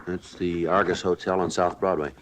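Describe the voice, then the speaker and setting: An actor playing a deep-voiced deadpan police sergeant. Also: male voice, normal speed, slight background noise, close to an everyday voice, rough recording. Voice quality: flat, clipped, matter-of-fact delivery. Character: terse, deadpan male police sergeant narrator. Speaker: detective narrator. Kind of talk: 1950s radio drama narration.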